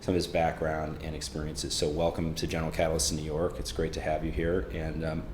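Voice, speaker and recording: Deep-voiced guy hosting, lots of ums, echoey room. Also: completely flat delivery, fast, noisy background. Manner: friendly, filler-heavy questioning. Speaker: host. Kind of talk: conversational interview.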